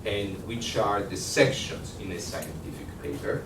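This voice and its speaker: A man with an Italian accent giving a workshop lecture, conversational and halting, with many fillers and restarts. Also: low voice, lively intonation. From Italy. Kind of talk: workshop lecture with audience questions. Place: lecture room. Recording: echoey room, distant mic, noisy.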